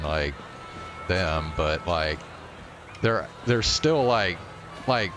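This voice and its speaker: Male game streamer, lowish pitch, unhurried casual delivery, blunt and sweary, music underneath. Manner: frequent profanity, blunt. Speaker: livestreamer. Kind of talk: casual live gaming commentary.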